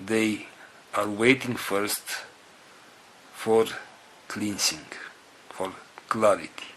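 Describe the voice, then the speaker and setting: Slow, halting man with a bright voice. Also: fairly low pitch, steady tone, slight background noise. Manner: halting, broken into short phrases with pauses; non-native English phrasing. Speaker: spiritual group organizer. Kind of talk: organizational announcement to a group.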